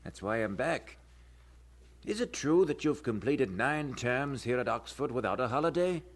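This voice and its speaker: An actor playing a stern male college doctor, brisk and commanding, in an audio drama. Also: medium pitch, expressive.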